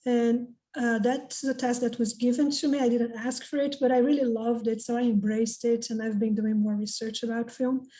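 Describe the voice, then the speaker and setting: A woman's voice: a little high, flat delivery, moderate pace, few pauses, warm tone, low-quality recording. A woman with a Brazilian Portuguese accent, a native Portuguese speaker, giving friendly, chatty opening remarks as a conference presenter over a video call, with some fillers and restarts. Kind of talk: informal remarks before an academic paper. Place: home or office in North Carolina.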